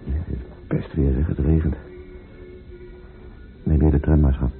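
An actor playing a busy, matter-of-fact but fond working husband in a naturalistic radio play, speaking casually and familiarly. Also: male voice, very deep voice, fairly even intonation, measured pace, creaky, faint music behind, heavily acted. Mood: hurried, fond. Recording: muffled old radio play recording.

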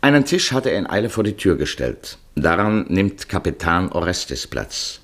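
A man narrating a literary travel feature in 1950s radio style, telling it vividly and in a measured way. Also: low voice, very animated, a bit bright.

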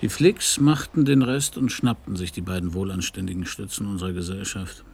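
An actor playing a world-weary private detective in a German radio crime drama, terse and gravelly, sometimes hushed. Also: male voice, deep voice, fairly quick, full character voice. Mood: melancholy, brooding. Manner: terse, laconic. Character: world-weary male private detective, hard-boiled narrator. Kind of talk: German radio crime drama, first-person noir narration and dialogue.

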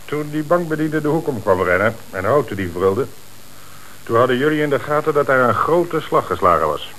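Male actor as brisk, sardonic Dutch police chief, authoritative, shrewd interrogator in a hissy old radio drama. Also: mid-range voice, expressive, fairly bright tone, lightly acted, low-quality recording. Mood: shrewd, measured. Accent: Dutch from the Netherlands. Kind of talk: Dutch radio play acting.

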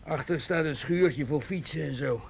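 A man's voice: on the high side, moderate pace, creaky, a little hiss or hum. An actor playing an uneasy working-class father, hesitant and defensive, in a radio drama. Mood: evasive. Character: uneasy, evasive working-class father under police questioning.

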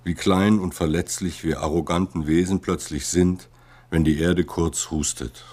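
A man's voice: deep voice, fairly even intonation, a little slow, darkish tone. A man voicing a sober, critical observer of a disaster in a scripted radio monologue.

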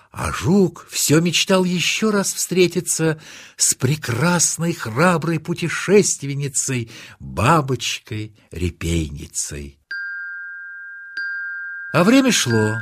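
A man narrating a scripted children's fairy tale as a storyteller, in an expressive, dramatic way. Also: medium pitch, takes it slowly, husky. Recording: music behind the narration.

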